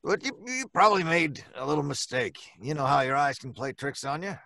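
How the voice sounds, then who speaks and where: An actor playing a reluctant, fretful working-class building superintendent, hesitant, folksy and defensive, in old-time radio suspense style. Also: male voice, a little high, lively intonation, brisk, vocal fry, sharp tone, dry recording, exaggerated voice. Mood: placating.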